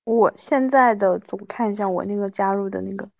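A woman's voice: medium pitch, brisk, gravelly, dry recording, narrow, tinny audio. A woman in her thirties chatting casually like with an old friend, in a playful, self-mocking way. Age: 30s. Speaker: podcast host.

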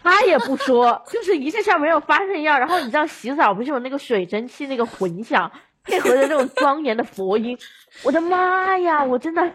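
A woman's voice: high voice, expressive, fairly quick, a bit bright. A woman on a podcast telling anecdotes in casual conversation. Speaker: podcast speaker. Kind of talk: casual storytelling chat.

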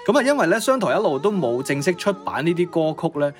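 A man's voice: high voice, very animated, fast talker. A man with a Cantonese accent telling music history as a radio co-host, in a conversational, piecemeal way.

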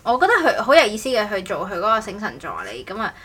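A woman co-hosting a casual podcast, telling stories in an animated, rambling way. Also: mid-range voice, quick pace, husky. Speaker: podcast co-host. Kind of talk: casual chat.

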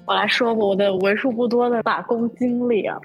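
A young woman podcast host casually telling an anecdote in an animated, indignant way. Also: a little high, brisk, faint music behind.

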